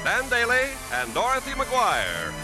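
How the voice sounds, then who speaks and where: An American man working as a 1950s radio announcer, delivering a polished, folksy sales pitch. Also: wildly swooping pitch, a little slow. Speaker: announcer and commercial spokesman. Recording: 1950s radio broadcast, faint music.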